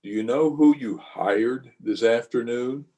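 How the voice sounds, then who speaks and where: A man's voice: medium pitch, unhurried, warm tone, lightly acted, low-quality recording. A man playing a grave, conscience-stricken frontier marshal in an old-time radio western, speaking plainly and wearily. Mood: grave, earnest. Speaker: actor and narrator in an amateur radio play. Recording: voice recorded over an internet call.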